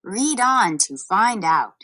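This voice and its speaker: Slow, very melodic woman narrating for children. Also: medium pitch, big pitch swings, bright, forceful tone. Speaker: narrator of an educational audio book. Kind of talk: scripted read-along narration for children.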